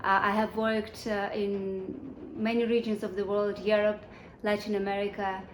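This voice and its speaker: A woman in her forties from Kazakhstan, answering interview questions in a measured, thoughtful way with many pauses and restarts. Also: mid-range voice, flat delivery, echoey room, slight background noise. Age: 40s. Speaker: guest, UN section chief.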